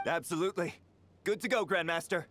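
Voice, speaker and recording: A man's voice: high voice, fairly quick, fairly bright tone, slight background noise. A man voicing a dutiful, eager knight reporting to a superior in a video game.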